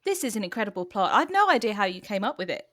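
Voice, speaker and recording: A woman's voice: mid-range voice, big pitch swings, rapid-fire, a bit bright, no room sound, clean studio sound. A woman playing a vain radio drama actress with a gushing, self-important manner. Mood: gushing theatrical. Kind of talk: improvised radio comedy.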